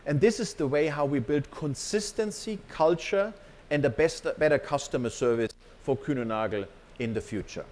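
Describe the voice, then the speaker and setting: A man's voice: on the high side, moderate pace, a little mellow. A man giving a company presentation to staff as an executive, partly off the cuff, emphatic and often checking in with his listeners.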